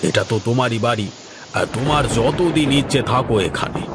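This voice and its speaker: A man playing a pompous, self-important village gentleman with poetic airs in a cartoon, speaking theatrically. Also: mid-range voice, brisk, background noise, natural voice. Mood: eager to please. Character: pompous village gentleman who fancies himself a poet. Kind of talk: Bengali cartoon voice acting.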